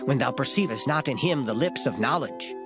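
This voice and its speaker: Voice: mid-range voice, expressive, fairly quick, natural voice. A reader giving a dramatized delivery of scripture in formal old English, emphatic and solemn. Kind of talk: dramatized Bible reading, formal King James English. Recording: loud music behind the voice. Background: music.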